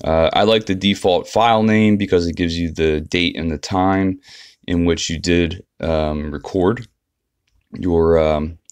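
A man's voice: low voice, steady tone, measured pace, clear, resonant tone, no room sound. A man giving a casual, unscripted walkthrough of an app as a tutorial presenter.

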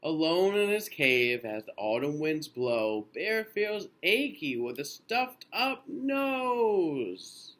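A man in his thirties reading a children's picture book aloud in an expressive, sing-song way with drawn-out phrases. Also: fairly high pitch. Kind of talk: children's storybook read-aloud. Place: small indoor room.

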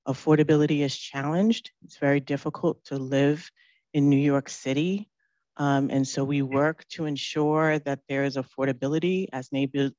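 A woman's voice: a bass voice, a little mellow, dry recording, muffled recording. A woman speaking on a panel as a company chief, composed and fluent. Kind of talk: panel talk. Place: conference room.

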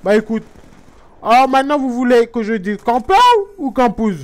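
A man puts on a rambling Guadeloupean mother with a very high, smooth voice. Her explanations of the video game are earnest and innocently wrong. The voice is pitched far up and kept clear. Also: normal speed. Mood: chatty. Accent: Guadeloupean French. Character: chatty middle-aged Guadeloupean mother, naive about games. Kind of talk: comic gameplay commentary in character.

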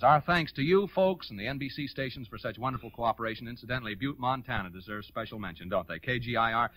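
A man's voice: medium pitch, pushed and bright, slight background noise, poor audio. An American man hosting a 1940s radio show, talking off the cuff to the listeners at home.

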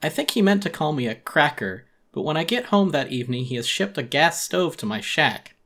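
A man's voice: on the high side, big pitch swings, fairly quick, a bit bright. Theatrical man narrating a story. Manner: theatrical, brooding. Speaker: audiobook narrator. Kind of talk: dramatic scripted first-person narration.

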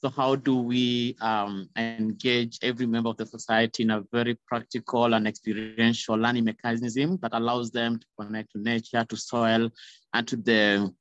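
A young man with a Kenyan accent giving a measured, informal panel answer over a video call. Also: medium pitch, level tone, fairly bright tone, clean tone, no echo. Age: young adult. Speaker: panelist, youth conservation leader. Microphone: video call, close device. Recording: muffled, dull video call audio.

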